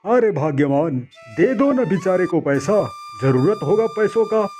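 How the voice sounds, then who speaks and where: A man playing a kindly henpecked merchant in an animated moral story, placating and gently reproachful. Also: high-pitched, unhurried, soft background music.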